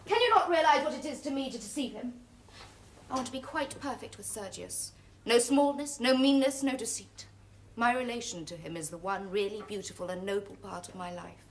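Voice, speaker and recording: A woman's voice: on the high side, a little fast, a little hiss or hum, close to an everyday voice. A woman playing a proud, romantic young lady in a stage comedy, with a declamatory, emotional delivery. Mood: earnest, pleading. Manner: declamatory, noble posturing, emotional swings.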